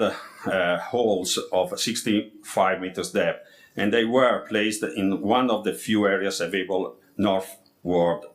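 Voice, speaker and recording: A man speaking English as a second language, giving a formal scripted presentation as an architect, haltingly, stumbling over and repeating words. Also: lowish voice.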